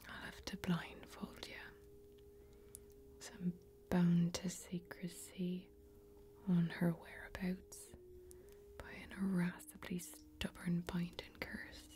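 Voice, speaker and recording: Girl doing a mysterious warrior lady, whispery asmr fantasy roleplay, pretty even pitch, self-assured. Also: takes it slowly. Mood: hushed, secretive. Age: young adult. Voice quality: breathy, whispered. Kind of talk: soft-spoken ASMR fantasy role-play.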